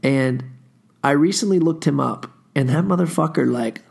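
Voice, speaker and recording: A man's voice: fairly high pitch, moderate pace, gravelly, darkish tone, husky. A man hosting a solo podcast, under the weather with a stuffy runny nose, rambling casually through a profane personal story with lots of fillers. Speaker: podcast host.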